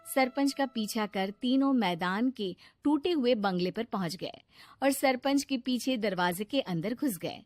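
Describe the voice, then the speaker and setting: A woman's voice: on the high side, lively intonation, a little slow, dry recording, soft background music. A woman reading scripted narration for a children's cartoon story.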